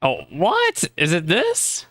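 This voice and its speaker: A woman's voice: on the low side, wildly swooping pitch, takes it slowly, fairly bright tone, no room sound. A woman giving casual reaction commentary as a game streamer, recorded on a close mic. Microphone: close dry mic.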